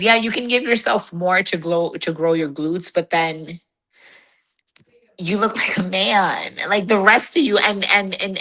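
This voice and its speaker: Raspy-voiced, blunt woman fitness competitor. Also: medium pitch, rough recording. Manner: blunt, laughs often, animated. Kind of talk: casual interview chat.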